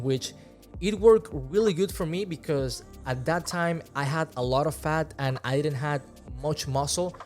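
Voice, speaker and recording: Young Venezuelan man, earnest vlog chat. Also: on the high side. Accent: Venezuelan Spanish. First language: Spanish. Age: 20s. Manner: relaxed, earnest, gestures with hands while talking. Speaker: fitness vlogger. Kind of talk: casual talk to camera. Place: small room indoors. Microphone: large studio mic close in front.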